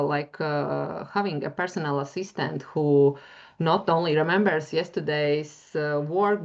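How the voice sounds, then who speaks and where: A woman's voice: low voice, gravelly, highs cut off. A woman with a Latvian accent giving a webinar talk as a university lecturer, hesitant and full of fillers as she searches for words. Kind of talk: webinar presentation, screen-share demo.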